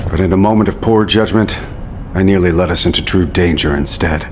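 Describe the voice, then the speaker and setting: A man playing a deep-voiced earnest officer. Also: a little fast, a little hiss or hum, close to an everyday voice. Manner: earnest, persuasive, calm. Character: earnest male executive officer, remorseful leader. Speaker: executive officer. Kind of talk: video game cutscene acting.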